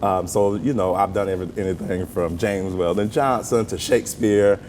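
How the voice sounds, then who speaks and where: A man with a Southern US accent talking conversationally as an interview guest, telling stories in an animated, folksy way. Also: normal speed. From southern United States. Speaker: interview guest, playwright and stage actor. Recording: roomy long reverb with rumbling noise.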